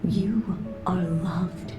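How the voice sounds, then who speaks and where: Slow, solemn storybook witch mother. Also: fairly low pitch, steady tone. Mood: tender, solemn. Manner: measured, literary, tender. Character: solemn, tender witch mother telling a fairy tale. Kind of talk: storybook narration in a video game. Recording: echoey voice under loud game music.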